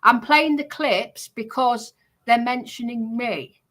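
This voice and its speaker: A woman streamer chatting casually in a halting way, often repeating herself. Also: on the high side, a bit bright. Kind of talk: casual chat.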